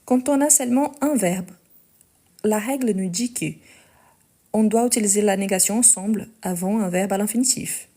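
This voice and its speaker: A woman teaching French in a live lesson, dictating each sentence slowly and patiently explaining the conjugation rules. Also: medium pitch, mellow. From Portugal. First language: Portuguese.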